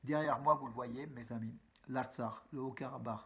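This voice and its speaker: A man giving a casual spoken news roundup to his viewers, chatty and halting, with many fillers and restarts, addressing them as friends. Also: medium pitch, steady tone, a bit bright. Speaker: news vlogger. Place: room with a map on the wall behind him.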